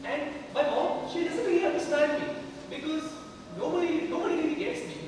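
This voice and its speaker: A man's voice: extremely high pitch, fairly quick, noisy background. A man acting in an amateur stage play as an impulsive, misunderstood sixteen-year-old. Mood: earnest, emphatic. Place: large hall stage. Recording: very echoey hall, distant, loud music.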